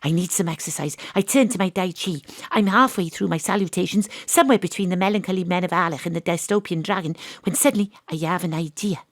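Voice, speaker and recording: Deadpan hard-boiled female detective. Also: fairly low pitch, fast talker, hardly any pauses, dry recording. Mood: wry, brooding. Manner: deadpan, dry. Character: female private detective, world-weary, wry, hard-boiled ex-rugby star. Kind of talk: noir detective narration in audio drama.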